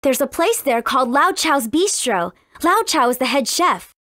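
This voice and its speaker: A woman playing a friendly, earnest, cautious teenage princess in a video game, with expressive delivery. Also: high voice, moderate pace, dry recording, studio quality. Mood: informative, earnest. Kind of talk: video game dialogue acting.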